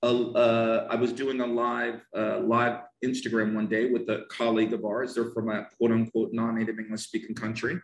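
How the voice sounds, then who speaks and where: A man's voice: medium pitch, normal speed, hardly any pauses. Passionate New Jersey man in a roomy space. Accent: Northern New Jersey. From United States. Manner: emphatic, animated, repeats himself for stress. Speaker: English pronunciation teacher. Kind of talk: passionate podcast conversation.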